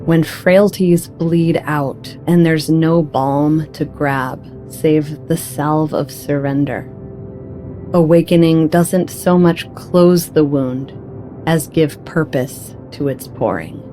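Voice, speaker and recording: Woman w low unhurried voice reading a solemn poetic meditation, faint music behind. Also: fairly even intonation, dark tone. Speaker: audiobook narrator.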